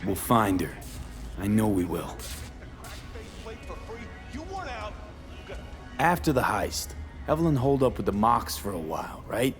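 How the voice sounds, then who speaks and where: An actor voicing a tough, determined street mercenary in a video game, blunt and terse. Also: male voice, fairly low pitch, sing-song, moderate pace, faint music behind. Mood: concerned. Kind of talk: video game character acting.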